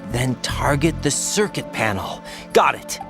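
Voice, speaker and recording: A man's voice: medium pitch, big pitch swings, a little slow, gravelly, over music. An actor playing an earnest rookie special-forces man in a video game, reporting in an alert, plain-spoken, questioning way. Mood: focused, uncertain. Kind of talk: video game radio-briefing acting.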